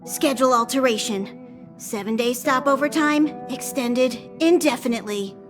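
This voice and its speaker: A woman voicing a small, cute conductor creature in a video game, making bossy announcements in a squeaky, childlike voice. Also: over music, lightly acted. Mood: worried, official. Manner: officious, deliberate announcing.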